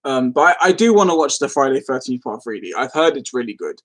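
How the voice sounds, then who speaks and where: A man's voice: mid-range voice, sing-song, fast. A man hosting a casual live stream, rambling as he replies to comments and easily amused. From London, England.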